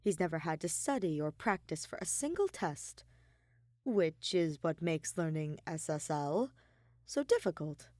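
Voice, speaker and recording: A woman reading a piece of fiction aloud as an expressive, emphatic narrator. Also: deep voice, unhurried, dry recording, studio quality. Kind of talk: expressive scripted story narration.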